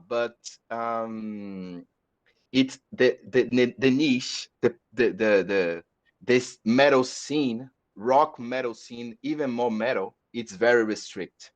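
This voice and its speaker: A man from Brazil speaking English with a Brazilian accent, a metal musician chatting on a podcast, halting and repeating words as he searches for them. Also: on the low side. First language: Portuguese. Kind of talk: casual podcast chat. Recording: dull lo-fi audio.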